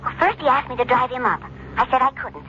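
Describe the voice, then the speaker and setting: A woman's voice: sits high, expressive, quick pace, sharp tone. An actress playing a worried, secretive woman in a radio drama, hesitant and emotional. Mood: guarded. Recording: muffled, noisy telephone scene on old radio.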